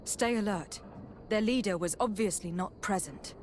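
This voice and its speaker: A woman's voice: medium pitch, moderate pace, a little hiss or hum, lightly acted. Firm, formal noblewoman investigator played by an actress. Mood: calm, reassuring. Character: resolute young noblewoman investigator, protective and commanding. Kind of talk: video game voice acting.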